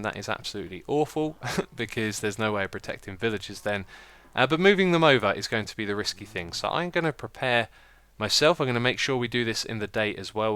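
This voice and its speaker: British male let's-play host, lowish bright voice, slightly croaky, chatty and self-mocking, dry close mic. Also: dry recording. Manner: chatty, self-mocking, laughs at own slips. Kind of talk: casual gameplay commentary.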